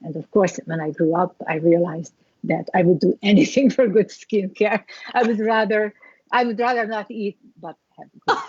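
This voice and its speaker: Guest: middle-aged Hungarian-accented woman, reflective, halting delivery, dry dull recording. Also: mid-range voice, steady tone, a little mellow, slightly rough, no room sound. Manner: reflective, halting with many restarts, laughs lightly. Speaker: guest, skincare founder. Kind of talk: interview storytelling.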